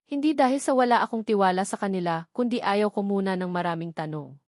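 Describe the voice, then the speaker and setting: A woman's voice: mid-range voice, quick pace, darkish tone, no room sound, studio quality. A woman with a Filipino accent reading a first-person novel as a scripted narration. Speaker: audiobook narrator.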